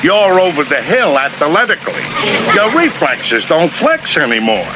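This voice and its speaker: A man's voice: a little high, very animated, unhurried, very noisy. A man voicing a bossy, boastful Stone Age family man in a classic cartoon.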